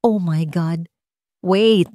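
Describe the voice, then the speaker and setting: A woman's voice: lowish voice, drawn-out words, dark tone, no room sound, studio quality. Woman acting a domineering rich Filipino wife. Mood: feigned alarm. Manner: commanding, code-switching into English. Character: cold, domineering, two-faced rich wife, sweet when watched.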